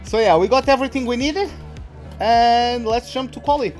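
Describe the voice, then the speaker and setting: A man's voice: very high voice, moderate pace, darkish tone, soft background music. A young man whose first language is Portuguese, rambling casually in English while playing a racing game, with many fillers and restarts. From Portuguese-speaking country. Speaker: gaming YouTuber. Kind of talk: casual let's-play commentary.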